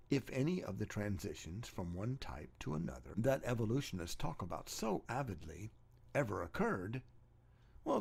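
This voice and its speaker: A man's voice: lowish voice, creaky, darkish tone, slightly rough. A man reading an argumentative text aloud as narrator, with emphatic, sarcastic delivery and rhetorical questioning. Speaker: narrator reading own book chapter.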